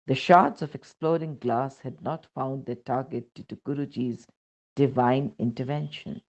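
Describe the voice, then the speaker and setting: A woman's voice: very deep voice, moderate pace, a little mellow, highs cut off. A woman with an Indian accent reading a first-person devotional account aloud as scripted narration. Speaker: reader of a devotional testimony.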